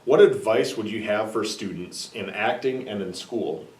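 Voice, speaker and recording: Lowish-voiced male interviewer, very roomy sound. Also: moderate pace. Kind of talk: formal prepared interview questions. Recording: echoey room, distant mic.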